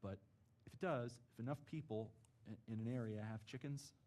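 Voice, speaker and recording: A man, a lifelong local, speaking at a city planning meeting in an earnest, halting way full of restarts. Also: mid-range voice, a little mellow. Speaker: board member. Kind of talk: public meeting discussion. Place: meeting chamber.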